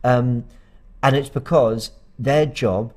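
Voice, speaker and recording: A man's voice: medium pitch, steady tone, slow, a little mellow. A man co-hosting a live football fan show, talking casually and rambling with lots of fillers and restarts. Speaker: fan channel co-host.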